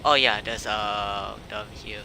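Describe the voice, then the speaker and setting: Slow, halting male game streamer, non-native English. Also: on the low side, fairly even intonation, gravelly. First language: not English. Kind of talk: casual game stream chat. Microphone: uncovered microphone that picks up breath blows. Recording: noisy stream mic with breath pops.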